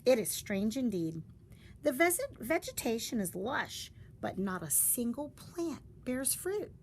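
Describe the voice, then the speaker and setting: A woman reading a story aloud in a deliberate, dramatic storytelling style. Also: fairly high pitch, very animated. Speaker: storybook reader. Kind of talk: expressive read-aloud of a story. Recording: faint background noise.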